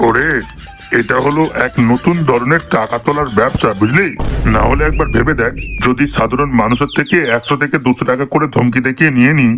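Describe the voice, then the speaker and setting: A man's voice: medium pitch, a bit bright, close to an everyday voice. A man voicing a bossy, scheming, foul-mouthed village gang leader in a comic cartoon, lecturing and scolding. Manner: hectoring, explanatory, swearing.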